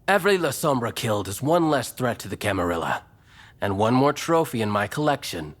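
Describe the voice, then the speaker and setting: A man's voice: mid-range voice, very animated, fairly quick. A man playing a smug, cruel, mercenary vampire gang boss in an audio drama, taunting and businesslike.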